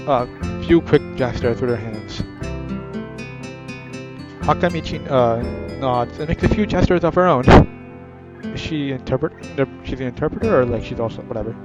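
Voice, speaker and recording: A man's voice: on the high side, animated, normal speed. A man casually reading a visual novel aloud, chatting and correcting himself as he goes. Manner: self-correcting, chatty asides. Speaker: gaming video creator. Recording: dull sound with guitar music.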